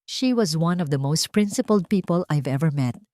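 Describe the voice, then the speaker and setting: A woman voicing a serious, blunt lawyer in his forties, calm and formal, in a narrated drama story. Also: low voice, moderate pace, dry recording, clean studio sound. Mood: solemn.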